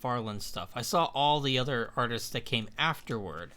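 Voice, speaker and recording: A man's voice: mid-range voice, lively intonation, moderate pace, bright, forceful tone. A man co-hosting a live stream, reading out viewer questions in a laid-back way. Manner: laid-back, reads out chat messages. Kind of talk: casual live-stream chat.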